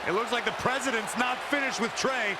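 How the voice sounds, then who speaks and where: A man playing an excited, bantering male wrestling commentator in a video game. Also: very high-pitched, fairly quick. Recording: loud arena crowd noise.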